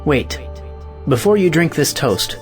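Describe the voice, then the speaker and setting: An actor playing a principled, unbending doctor, speaking formally and firmly in an audio play. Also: male voice, on the high side, sing-song, soft background music. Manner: formal, measured, firm. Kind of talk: theatrical audio play acting.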